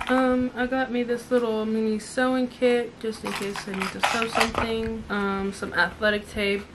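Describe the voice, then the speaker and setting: A young woman casually showing off a shopping haul from her couch, chatting in a relaxed way and listing items with asides. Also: a little high, moderate pace, background noise. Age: young adult. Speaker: vlogger. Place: living room, on a couch indoors.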